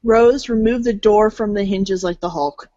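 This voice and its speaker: A woman's voice: mid-range voice, normal speed, mellow, dry recording. A woman narrating a read-along storybook in a fan readers' theater. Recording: dull lo-fi audio.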